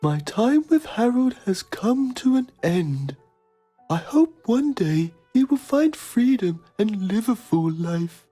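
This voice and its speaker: A man's voice: sits high, big pitch swings, slow, mellow, breathy edge, faint music behind, full character voice. A man voicing a solemn, self-important documentary journalist in a comedy game. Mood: grave, pompous. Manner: solemn, pompous. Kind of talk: mock documentary narration.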